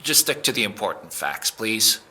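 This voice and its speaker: Man as stern cop with sharp, animated voice. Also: fairly low pitch, moderate pace, heavily acted. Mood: controlled, businesslike. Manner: curt, businesslike, probing. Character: stern, no-nonsense male police officer interrogating a suspect. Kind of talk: audio drama acting.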